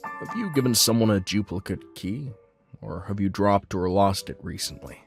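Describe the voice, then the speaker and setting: A man playing a polite, businesslike apartment management agent in a manga dub, measured and matter-of-fact. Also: fairly low pitch, animated, vocal fry, breathy edge, faint music behind, exaggerated voice.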